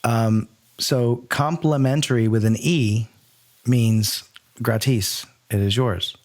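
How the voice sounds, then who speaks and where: A man's voice: mid-range voice, takes it slowly. A man hosting a podcast in casual banter, talking in a wordy, playfully mock-formal way. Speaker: podcast host.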